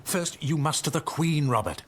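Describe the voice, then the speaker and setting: An actor playing a zealous, vengeful Elizabethan courtier, theatrical and rhetorical in a period radio drama. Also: male voice, a little high, animated, moderate pace, fairly bright tone, slightly rough. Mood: urgent, insistent.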